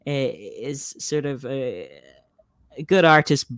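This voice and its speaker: A woman with a very low voice chats slowly and easily as a guest on a writing stream. Her tone is smooth and clear, and she fills the pauses with soft, agreeing murmurs. The sound is close and dry, with no room around it. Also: no echo. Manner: relaxed, lots of soft agreeing "yeah"s. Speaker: guest writer. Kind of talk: casual chat.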